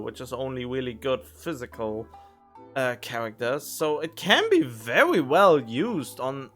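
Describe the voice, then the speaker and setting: Rambling male game streamer, lively intonation. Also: a little high. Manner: rambling, musing to himself. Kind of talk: casual gameplay commentary.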